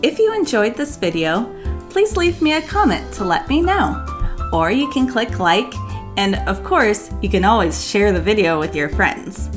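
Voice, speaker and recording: A woman narrating for young children in an expressive, measured read-aloud storytelling style. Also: mid-range voice, music in the background. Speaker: host and audiobook narrator.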